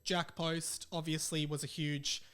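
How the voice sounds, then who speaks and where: A man's voice: a little high, level tone, measured pace, a bit bright, smooth. A young adult man with an Australian accent, chatting casually as a solo podcast host in an informal, self-deprecating way.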